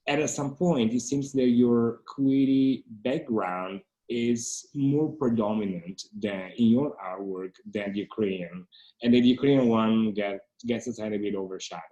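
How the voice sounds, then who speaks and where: A man moderating an artist talk, asking long, halting, roundabout questions in a conversational way. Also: mid-range voice, warm tone, poor audio. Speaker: interviewer and moderator.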